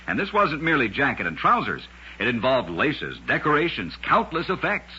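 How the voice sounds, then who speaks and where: A man with a raspy voice narrating scripted oddities for an old-time radio show in a dramatic, emphatic storyteller's delivery. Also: mid-range voice, sing-song, normal speed, sharp tone. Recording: dull, lo-fi vintage radio recording.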